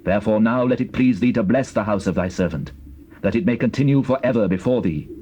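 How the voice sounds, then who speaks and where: An actor playing King David as a humble king in prayer, reverent, measured and formal, in dramatized scripture. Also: fairly low pitch, mellow, a little hiss or hum, close to an everyday voice.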